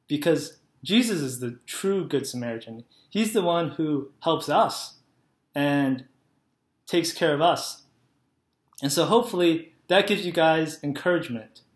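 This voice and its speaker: A young man with a slightly high voice gives a Sunday school lesson to children. He explains the story in plain, friendly words and keeps asking the kids whether they follow. He records on a laptop webcam in a living room with a noticeable echo. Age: young adult. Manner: friendly, simple storytelling, asks "right?" to kids. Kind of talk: children's sermon. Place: living room at home, indoors. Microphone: laptop webcam, head and shoulders. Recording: echoey room.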